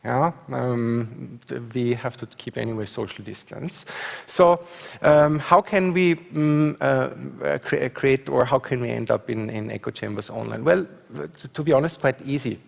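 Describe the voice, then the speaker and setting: A man with an Austrian accent lectures from a stage, his mid-pitched voice carrying a gravelly edge. He talks hesitantly, full of filler sounds, and often checks in with his listeners. The sound rings with a long reverb, as in a large lecture hall. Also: measured pace. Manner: hesitant, many fillers, asks "yeah?" to check in with the audience. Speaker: university professor. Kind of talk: conference talk with slides.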